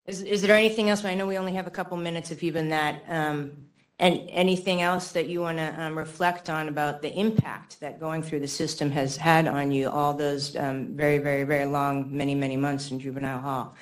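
A woman moderating testimony at a hearing, speaking semi-formally and carefully, pausing and repeating words for emphasis. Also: deep voice, level tone. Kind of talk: semi-formal interviewing and remarks.

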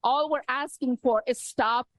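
A woman from Afghanistan giving emotional public comment at a city council, pleading with halting restarts. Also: high voice, dry recording. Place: council chamber.

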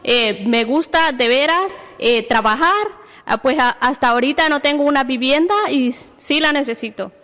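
A woman's voice: sits high, a little fast, fairly bright tone. A woman from Honduras with a Honduran Spanish accent, making a personal plea at a public hearing. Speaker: single mother giving public testimony. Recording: echoey hall, long reverb.

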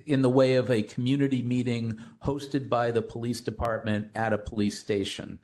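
A man chairing a public committee meeting, speaking in a measured way with hesitant restarts. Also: mid-range voice, little pitch movement, a little mellow.

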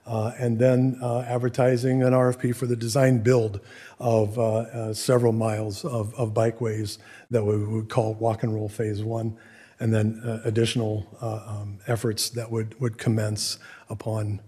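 Guy giving a staff presentation at a meeting, monotone, kinda husky and hoarse, stop and start. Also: lowish voice, normal speed. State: losing his voice, hoarse.

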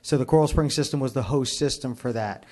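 A man speaking as a fire chief at a public meeting, answering questions in a measured, formal, explanatory way. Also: medium pitch, darkish tone.

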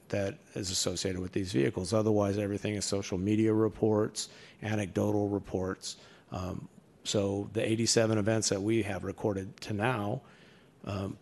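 Gravelly low-voiced male official. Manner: measured, with frequent ums. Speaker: fire department official presenting. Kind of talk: formal testimony at public meeting.

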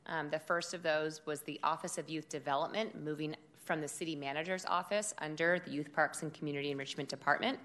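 A woman who directs a city department, giving a formal budget presentation at a public meeting in a loud, steady, measured voice. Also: low-pitched. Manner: measured, informative delivery with occasional fillers.

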